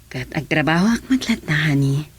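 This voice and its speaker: An earnest, dutiful official played by an actor in an Ilocano radio drama. The voice is mid-pitched, with faint noise around it. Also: animated, normal speed, lightly acted. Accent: Ilocano Filipino.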